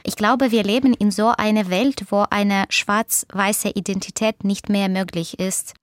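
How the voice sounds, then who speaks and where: Brief: woman from Ukraine, Russian-native Ukrainian accent, thoughtful, sometimes hunting for words; mid pitch, dry close mic. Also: steady tone, a little slow, no echo. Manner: reflective, searching for words. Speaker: interview guest, author and doctor. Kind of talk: conversational interview answers.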